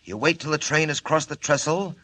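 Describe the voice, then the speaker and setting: An actor playing a coldly menacing villain mastermind with measured, formal, ominous speech in a 1940s radio drama. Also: male voice, fairly high pitch, pushed and bright. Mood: commanding. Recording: old 1940 radio broadcast.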